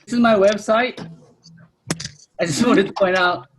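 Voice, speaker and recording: A middle-aged man from Japan with a Japanese accent, speaking non-native English haltingly in an informal talk about himself on a video call. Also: high voice, fairly even intonation, slight background noise. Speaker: winemaker, guest speaker.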